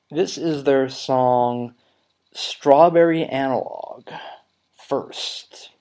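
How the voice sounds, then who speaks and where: Young male podcast host, twenties, low-energy rambler, mid-pitched voice. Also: warm tone. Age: 20s. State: recovering from a cold. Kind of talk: casual solo podcast chat.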